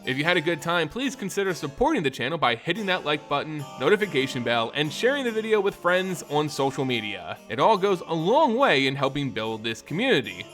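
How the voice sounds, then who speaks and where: Man, slightly high voice, expressive scripted fantasy narration, theatrical storyteller, soft music underneath. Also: a little fast, hardly any pauses. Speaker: lore storyteller and channel host.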